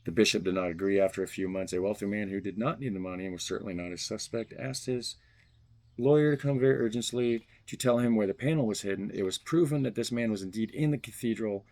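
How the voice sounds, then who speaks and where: Low-voiced man reading a letter aloud. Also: fast, a little mellow. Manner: reads stiffly, then jokes loosely between passages. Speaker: vlogger unboxing fan mail.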